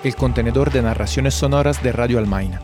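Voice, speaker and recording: A man's voice: mid-range voice, a little fast, over music. A man from outside Spain presenting and interviewing on the radio.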